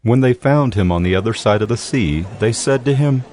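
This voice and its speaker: A man's voice: mid-range voice, animated, a little fast, a little mellow, slight background noise. A man narrating dramatized scripture as a scripted audio Bible reading. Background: music.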